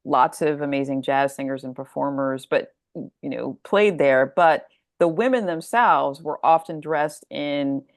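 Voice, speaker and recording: A woman's voice: sits low, measured pace, no echo, clean studio sound. A middle-aged American woman talking casually as an interview guest, thoughtful and discursive as she works out her answers. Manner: thoughtful, discursive, thinking aloud. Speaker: guest author discussing her story collection.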